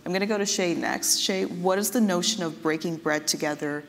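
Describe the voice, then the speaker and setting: A woman's voice: on the low side, a little fast, gravelly. A woman moderating a panel discussion, asking questions conversationally. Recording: hall reverb, faint noise.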